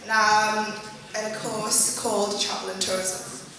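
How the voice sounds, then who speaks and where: A woman's voice: medium pitch, little pitch movement, measured pace, pushed and bright, slight background noise. An eighteen-year-old woman giving a chatty, giggly student presentation, with many ums and restarts. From northeast England. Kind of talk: class presentation to a group. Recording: echoey hall from far off, crowd behind.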